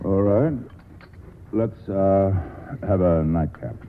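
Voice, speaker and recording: An actor playing an urbane, cynical, amused bachelor with dry, worldly delivery in 1940s radio style. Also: male voice, low voice, takes it slowly, over music. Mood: dry, casual. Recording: noisy narrow-band old radio broadcast.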